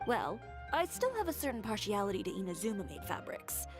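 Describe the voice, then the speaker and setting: Cool young female designer: self-assured, blunt, mid-pitched, anime game delivery over music. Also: very animated, brisk. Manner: composed, blunt, dry. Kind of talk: anime-style video game voice acting.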